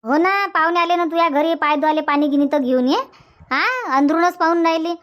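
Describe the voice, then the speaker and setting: Casting: woman to play cartoon village auntie, high-pitched, bossy and talkative, Varhadi Marathi accent. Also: a little fast, a bit bright, highs cut off. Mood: teasing, demanding. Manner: chatty, insistent, repeats herself. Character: bossy, sociable village auntie organizing her friends. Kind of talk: cartoon comedy dubbing.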